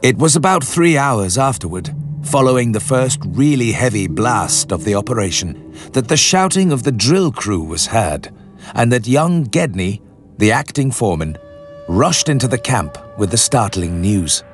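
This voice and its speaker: A male actor voices a grave expedition leader telling his story for radio. The delivery is measured, literary and serious, at a middle pitch. Music plays behind the voice. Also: sing-song, close to an everyday voice. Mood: grave, building suspense. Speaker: narrator. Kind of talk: dramatic scripted literary narration for radio. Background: background music.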